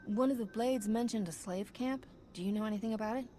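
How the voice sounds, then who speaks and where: A woman's voice: a little high, fast talker, dark tone, faint music behind, lightly acted. Woman playing a wry, cocky smuggler. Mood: confident. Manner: wry, casual. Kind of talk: video game voice acting.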